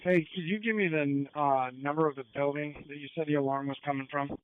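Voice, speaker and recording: A man's voice: a little high, steady tone, fairly quick, clean tone. A man making brief police transmissions over a two-way radio. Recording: thin phone-like two-way radio.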